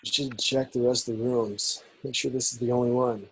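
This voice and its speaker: A man playing a crude, cocky young jock in a film script, with a gravelly, raspy voice. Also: mid-range voice, fairly even intonation, brisk, a bit bright, poor audio.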